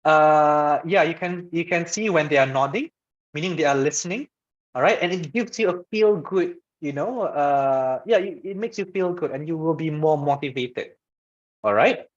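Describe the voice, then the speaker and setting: A man's voice: on the high side, normal speed. A man from Malaysia with a Malaysian English accent, a guest speaker answering questions in a webinar and often checking in with "okay" and "right". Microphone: video call. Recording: muffled lo-fi video call audio.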